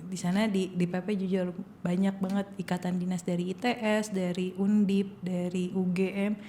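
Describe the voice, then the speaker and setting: A woman's voice: on the low side, flat delivery, normal speed, mellow, slight background noise. A young woman, a civil engineer guesting on a podcast, chatty and encouraging with easy laughter. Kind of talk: casual advice chat.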